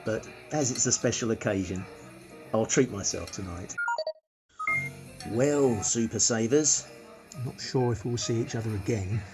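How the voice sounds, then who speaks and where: A man's voice: medium pitch, unhurried, pauses often, over music, natural voice. A man playing a chatty, penny-pinching British vlogger. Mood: smug, matter-of-fact. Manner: chatty, matter-of-fact, addressing viewers. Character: penny-pinching, self-satisfied male money-saving vlogger. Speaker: actor. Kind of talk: radio play monologue as a vlog.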